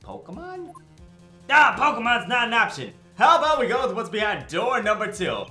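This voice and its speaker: A woman performs a cheeky young defense attorney for a game fan dub. The delivery is theatrical and sarcastic, leaping up and down in pitch. Music plays softly underneath. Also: big pitch swings, moderate pace. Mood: playful, incredulous.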